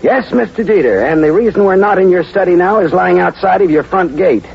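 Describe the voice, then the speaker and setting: Lead private detective, radio noir: wry, slangy, hard-boiled, expressive delivery, clearly noisy vintage recording. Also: male voice, high-pitched, brisk, dull audio. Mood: brusque. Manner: sardonic, slangy. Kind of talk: 1940s radio acting, noir narration and dialogue.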